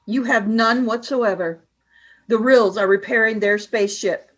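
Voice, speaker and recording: A woman voicing a cold, commanding female alien leader in a fan radio play, giving flat, clipped orders. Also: a little high, measured pace, rough recording.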